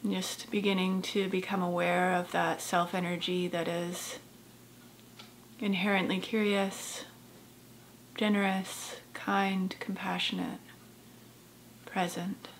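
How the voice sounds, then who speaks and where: A woman therapist leading a guided meditation in a gentle, soft-spoken, unhurried way with long pauses. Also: fairly low pitch, flat delivery, slight background noise, rough recording.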